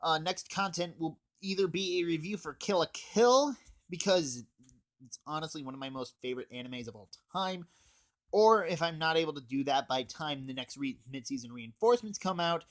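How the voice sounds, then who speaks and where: Young guy reviewer, bright casual voice. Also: fairly high pitch. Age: teens or young adult. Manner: casual, sweary. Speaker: video reviewer. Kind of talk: casual scripted anime review.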